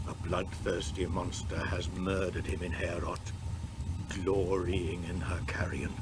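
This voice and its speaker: An actor voicing a solemn, haunted old king in grief, speaking in a hushed, grave, dramatic way for an epic storybook reading. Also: deep voice, pitched below the performer's own voice. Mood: grieving, bitter. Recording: noisy old cassette transfer.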